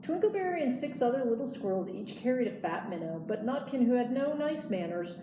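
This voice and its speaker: A woman's voice: on the high side, very animated, a little fast. A woman reading a children's storybook aloud as a librarian storyteller. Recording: echoey room, clear background noise.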